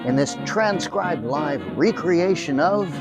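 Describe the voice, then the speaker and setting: A man's voice: fairly high pitch, lively intonation, slow, loud music behind the voice. An American man hosting, delivering a theatrical yet conversational scripted introduction. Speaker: host. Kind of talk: podcast introduction, semi-scripted narration.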